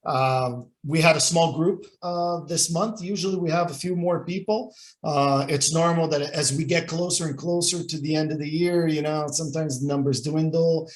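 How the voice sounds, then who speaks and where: A man's voice: fairly high pitch, fairly even intonation, moderate pace. A man hosting an online business meeting. He often repeats words and restarts his sentences. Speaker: chamber of commerce host.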